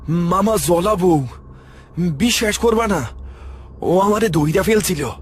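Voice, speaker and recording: A man playing a shaken, pleading young nephew, husky and strained, with a Bangladeshi Bengali dialect, in a horror story. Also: high voice, slow, soft background music, heavily acted. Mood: terrified.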